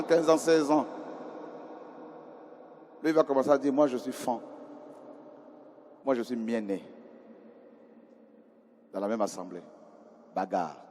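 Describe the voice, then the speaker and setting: A man preaching a sermon in a conversational way, often questioning the congregation and moving from quiet asides to loud cries. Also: mid-range voice. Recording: hall reverb with faint ambience.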